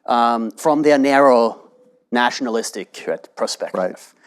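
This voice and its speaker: A man moderating a panel discussion at a lecture hall, talking in a lively, animated way and often checking in with "right?". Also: medium pitch, a little slow, clear, resonant tone. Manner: animated, asks rhetorical questions, often adds "right?".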